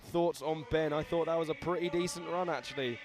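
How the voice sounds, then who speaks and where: A young man hosting live commentary at a scooter event, excitable and laughing, reading out viewer shout-outs. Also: on the high side, fairly quick.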